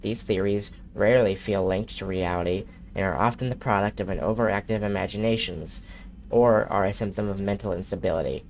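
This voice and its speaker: A man with a low, gravelly voice and a nasal edge reads in-game messages aloud at an unhurried pace, now and then stumbling and restarting a word. A faint hiss of noise sits under the recording. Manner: steady, unhurried reading with occasional slips and restarts. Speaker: streamer. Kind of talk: reading in-game messages aloud during a live gameplay stream.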